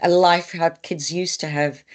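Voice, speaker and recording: A woman's voice: lowish voice, steady tone, a little slow. A woman with a New Zealand accent hosting a webinar as a migration consultant, friendly and a little hesitant, with fillers. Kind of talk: webinar presentation.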